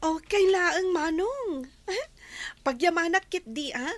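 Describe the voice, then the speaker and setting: An actress playing a sweet, grateful young student sister in a radio drama. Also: female voice, extremely high pitch, animated, takes it slowly, exaggerated voice. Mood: grateful, delighted.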